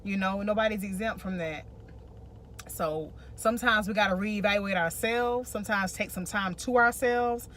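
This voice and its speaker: A woman's voice: medium pitch, moderate pace, a bit bright, a little hiss or hum. An American woman co-hosting a relationship vlog, giving casual advice and repeating phrases for emphasis.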